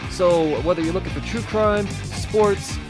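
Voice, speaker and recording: A man's voice: high voice, unhurried. A man promoting podcasts in a laid-back conversational ad read, full of surfer-slang hype. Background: guitar music.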